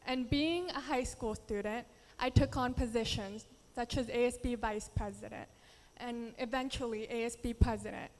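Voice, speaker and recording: A woman's voice: a little high, steady tone, moderate pace, darkish tone, in a large hall. A young woman from the Philippines, Tagalog her first language, giving an earnest prepared speech as a pageant contestant.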